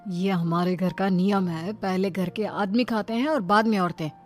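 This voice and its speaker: A woman's voice: medium pitch, steady tone, normal speed, a little mellow, lightly acted. Woman doing a nervous young husband in a village story, placating, faint music under it. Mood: anxious pleading. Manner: pleading, placating. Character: anxious young village husband pleading with his wife.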